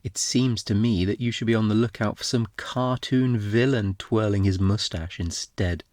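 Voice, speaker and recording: A man playing an obnoxiously flirty, smug thief in an intimate ASMR roleplay, teasing in a playful, theatrical, drawn-out way. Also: on the low side, darkish tone, no room sound, clean studio sound, natural voice. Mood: amused, mock-incredulous.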